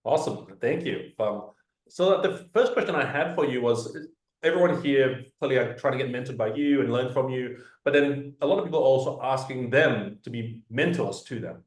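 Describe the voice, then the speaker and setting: A man's voice: medium pitch, moderate pace. A man interviewing a guest, earnest and asking long multi-part questions. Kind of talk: fireside chat interview. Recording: echoey room.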